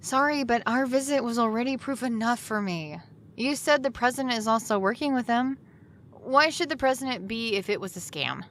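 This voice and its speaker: A trusting best friend in an audio drama, a woman with a fairly high voice. She sounds casual and sure of herself, brushing doubts aside, over faint background ambience. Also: animated, moderate pace, a bit bright, slight background noise. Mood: resolved. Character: trusting, firmly decided young woman, loyal best friend. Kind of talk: audio drama acting, conversational.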